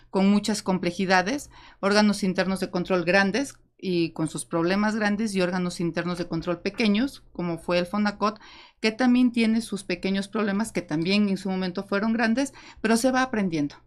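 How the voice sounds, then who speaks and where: A woman from Ecuador whose speech now carries a Mexican accent. She talks as a panel guest, lively and expressive, laughing easily as she speaks. Her pitch sits in a middle range for a woman. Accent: Mexican Spanish with Ecuadorian traces. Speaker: guest lawyer. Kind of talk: panel talk.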